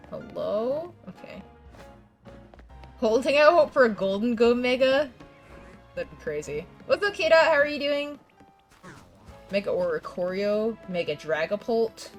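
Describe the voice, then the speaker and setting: Brief: young woman game streamer, stuffy congested voice, rambling casual chat, faint game music behind. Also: a little high. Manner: chatty, rambling, frequent laughs and restarts. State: congested, fighting a cold. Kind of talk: casual gameplay chat with viewers.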